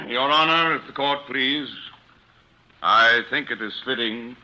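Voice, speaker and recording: An actor playing an earnest, fatherly defense lawyer in 1940s radio style, speaking in formal, measured phrases. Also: mid-range voice, pushed and bright. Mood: solemn, formal. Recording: muffled old radio recording.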